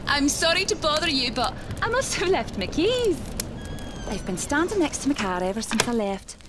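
A chatty, quietly sinister lady medium , acted by a woman in a horror audio drama. Her voice is high and very lively, fussy and theatrical as she muses to herself, with faint noise behind. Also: moderate pace. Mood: fussy, awkward. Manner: theatrical, exclamatory, musing to herself.

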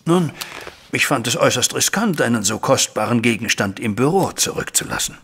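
An actor playing an anxious, respectable banker in an audio drama, recounting events in formal, wordy, deferential speech. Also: male voice, mid-range voice, animated, a little fast, a bit bright, slight background noise. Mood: earnest, uneasy. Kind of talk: audio drama acting, narrating a flashback.

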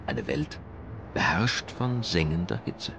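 A man reading scripted documentary narration in a measured, dramatic manner. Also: sits low, animated, creaky, background noise. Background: music.

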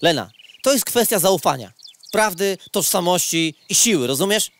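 A man's voice: sits high, expressive, moderate pace, fairly bright tone. A man playing a gossipy, casually prejudiced male insect who talks slangily and preachily in a comic audio drama. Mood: earnest preaching.

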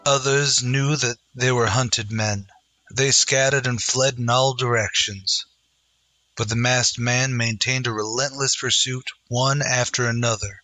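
A man's voice: mid-range voice, sharp tone, dry recording. A man narrating a scripted western in dramatic old-time radio style.

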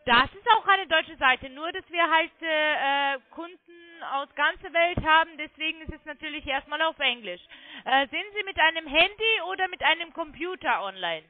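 High-pitched woman on a phone line. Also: animated, moderate pace, dry recording. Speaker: phone sales agent claiming to be technical support. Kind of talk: phone sales call, step-by-step instructions.

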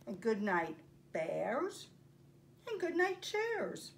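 An older woman presenting story time for young children, speaking in simple, deliberate phrases with pauses as she reads and demonstrates. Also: on the high side, wildly swooping pitch, vocal fry. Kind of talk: read-aloud and craft demonstration for young children. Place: carpeted home living room. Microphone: camera a few feet away. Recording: distant mic, faint room noise.

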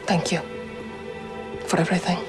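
A woman's voice: normal speed, natural voice. A woman playing a young, guarded rebel commander in a military video game, terse and earnest. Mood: solemn, resolute. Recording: music behind.

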